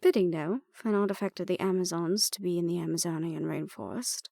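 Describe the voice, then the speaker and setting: A woman's voice: lowish voice, fairly quick, gravelly, dark tone, no echo, clean studio sound. A woman acting in an audio roleplay as an aristocratic archaeologist, sardonic, self-deprecating and prone to awkward rambling. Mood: composed, wry.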